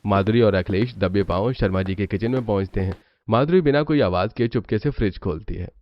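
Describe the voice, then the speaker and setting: A man's voice: fairly low pitch, fairly quick, dull audio. A man reading scripted narration for a cartoon family story.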